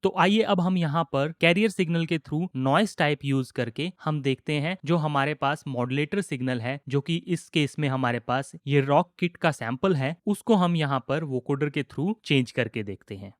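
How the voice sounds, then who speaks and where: A man with an Indian accent giving a methodical step-by-step software tutorial as an instructor. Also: fairly high pitch, normal speed, few pauses.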